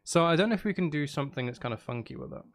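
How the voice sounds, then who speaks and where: A man's voice: on the high side, expressive, racing. A man streaming casually, thinking aloud as he works things out. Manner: thinking aloud, counting and humming out rhythms. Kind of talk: casual live stream chat while composing music. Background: music playing.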